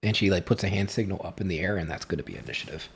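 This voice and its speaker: A man acting as game master, narrating and refereeing a tabletop fantasy game in an informal, halting way. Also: deep voice, gravelly, husky.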